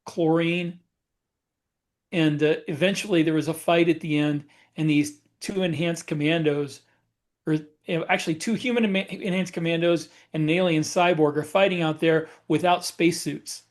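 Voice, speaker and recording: A man with a slightly high voice chats casually as a podcast co-host. He works through his stories haltingly, backing up to correct details. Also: fairly even intonation. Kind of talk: casual panel chat.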